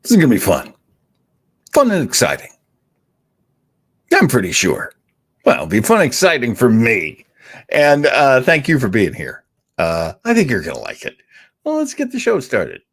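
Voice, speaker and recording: A man's voice: mid-range voice, wildly swooping pitch, moderate pace, long pauses, fairly bright tone, husky. A man hosting a casual show, rambling, joking at his own expense and interrupting himself when distracted. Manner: jokey, self-deprecating. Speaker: host. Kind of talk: casual live show chat.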